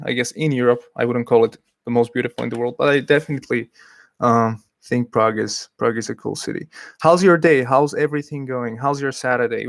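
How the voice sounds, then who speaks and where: A man's voice: mid-range voice, dry recording, studio quality. A man from Slovakia, hosting a casual livestream, talking hesitantly and reading out chat messages. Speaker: livestream host.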